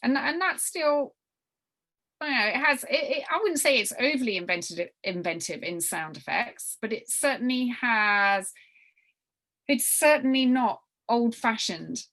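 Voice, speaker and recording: A woman giving an informal briefing to a group as a workshop leader, halting and often repeating phrases for emphasis. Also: fairly high pitch, expressive, fairly bright tone. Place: online group video call.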